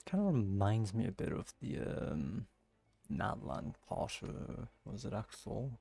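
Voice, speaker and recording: A man's voice: fairly low pitch, very animated, slow pace, gravelly, warm tone, breathy edge. A man giving casual commentary as he streams a game, musing aloud and asking himself questions. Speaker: gaming streamer.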